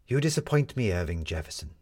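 A sharp, determined young woman reporter from a storybook, as a male reader plays her. The delivery is emphatic and very animated, with a slightly rough edge and plenty of challenging questions. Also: deep voice, quick pace. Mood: exasperated challenge. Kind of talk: storybook character dialogue.

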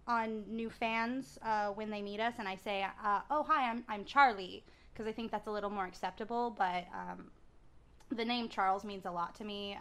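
A woman guest on a podcast, chatting casually with giggles and halting restarts. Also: mid-range voice, a bit bright. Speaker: guest musician.

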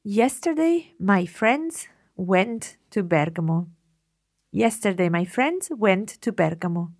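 A woman teaching a language lesson. She reads prompts slowly, repeats them, and corrects gently. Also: medium pitch, expressive, no room sound, clean studio sound.

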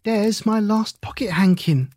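A woman's voice: medium pitch, a little slow, a little mellow, dry recording, pitched above the performer's own voice, close to an everyday voice. A woman voicing a curious, polite little girl who keeps asking questions.

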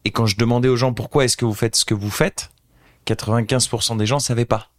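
A French man in his thirties, a social entrepreneur telling an animated anecdote as an interview guest. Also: medium pitch, quick pace. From France. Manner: animated anecdotal storyteller, addresses host directly. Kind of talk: casual storytelling interview.

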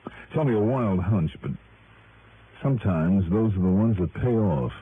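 A man's voice: lowish voice, animated, moderate pace, lightly acted. Man acting wry male investigator, clipped delivery, old radio drama, tinny noisy recording. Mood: wry, confident. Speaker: actor playing the lead investigator. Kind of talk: 1950s-60s radio detective drama acting.

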